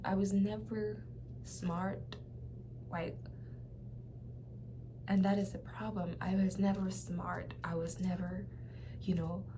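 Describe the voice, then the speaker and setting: A young woman with a Nigerian accent shares a personal testimony in a casual, halting way, often repeating phrases for emphasis. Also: medium pitch, darkish tone, slight background noise. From Nigeria (Lagos). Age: young adult. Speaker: vlogger.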